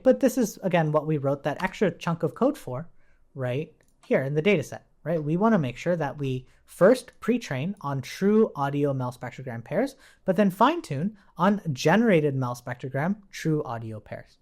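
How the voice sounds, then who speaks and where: A man's voice: on the high side, sing-song, moderate pace, a little mellow. A man giving an informal coding tutorial as an instructor, thinking aloud conversationally and often checking in with the listener. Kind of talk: informal coding tutorial, explaining while demonstrating on screen.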